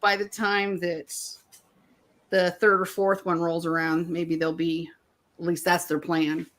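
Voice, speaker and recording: An older woman, a grandmother, co-hosting a casual chat and telling animated stories with frequent laughter. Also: lowish voice, a little slow. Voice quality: voice worn from years of teaching. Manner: animated storyteller, laughs often. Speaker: co-host. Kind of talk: casual chat on a streamed art show.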